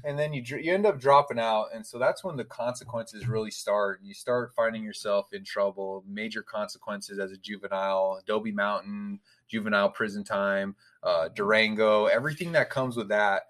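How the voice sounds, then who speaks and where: A man's voice: lowish voice, steady tone, normal speed, hardly any pauses, a bit bright. A man interviewing a guest, summarizing his story and asking leading questions. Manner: leading questions, frequent backchannel "yeah". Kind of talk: casual interview.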